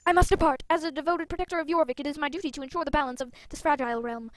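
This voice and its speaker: A woman's voice: high-pitched, racing, no echo, faint music behind, lightly acted. A woman voicing an anxious, dramatic leader of magical riders in a fantasy game, breathless and theatrical. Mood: solemn, noble.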